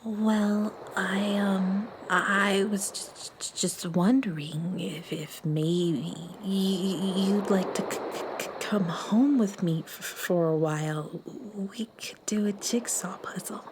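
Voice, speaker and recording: A woman's voice: medium pitch, fairly even intonation, slow pace, few pauses, background noise. A woman voicing a shy, anxious young alien girl with a heavy stammer in a children's audio drama. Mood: timid. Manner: heavy stammer, hesitant, timid. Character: shy, anxious young girl sludge creature from gloomy planet.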